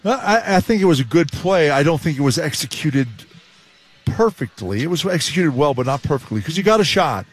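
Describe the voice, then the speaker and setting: A man's voice: fairly high pitch, expressive, brisk, faint music behind. An older man giving rambling postgame basketball analysis and restarting his sentences often.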